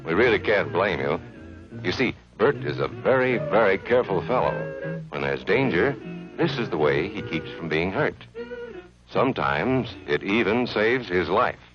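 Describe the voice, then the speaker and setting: A man's voice: sits low, lively intonation, measured pace, lo-fi sound, over music. A man narrating an educational film for children in an earnest, friendly, instructive way, from an old 1950s soundtrack.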